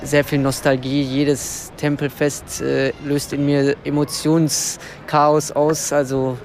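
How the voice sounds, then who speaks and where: A man's voice: fairly high pitch, monotone, slow pace, a little hiss or hum. A young man from Germany, speaking reflectively and searching for words in an interview. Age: young adult.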